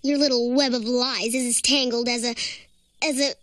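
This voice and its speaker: A woman's voice: fairly high pitch, steady tone, a bit bright, dry recording, lightly acted. A woman playing a smug, scheming teenage girl rival who gloats in a cartoon. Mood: gloating. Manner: gloating, drawn-out. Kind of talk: cartoon voice acting.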